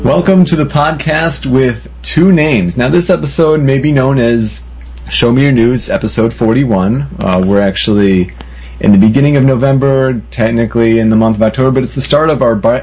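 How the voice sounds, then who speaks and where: A man's voice: medium pitch, normal speed, darkish tone, husky, low-quality recording. A man hosting a gaming podcast, talking casually and often saying "you know". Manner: filler-heavy, frequent "you know". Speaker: podcast host.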